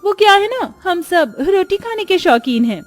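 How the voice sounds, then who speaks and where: Woman as a shrill, bossy sister-in-law. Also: very animated, moderate pace. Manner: mocking, commanding. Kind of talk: Hindi cartoon moral story voice.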